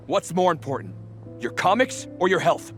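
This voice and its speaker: A man's voice: high voice, expressive, moderate pace. An actor playing an earnest, strict middle-aged father in a game cutscene. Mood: angry, scolding. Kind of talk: English game dub cutscene acting. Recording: clear music behind the voice.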